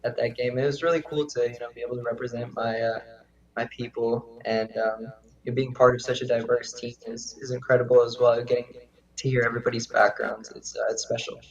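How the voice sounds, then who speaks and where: A young man, a high school quarterback whose first language is Russian, speaking softly and hesitantly. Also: level tone, a little fast, muffled recording.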